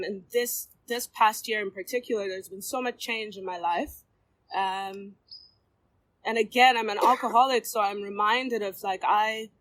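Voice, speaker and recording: Woman, 30s, heartfelt recovery share to a group in a room, halting and candid. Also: medium pitch, low-quality recording. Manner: candid, halting, with many ums and restarts. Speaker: recovery meeting speaker. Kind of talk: personal share at a recovery meeting. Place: meeting room before a seated circle.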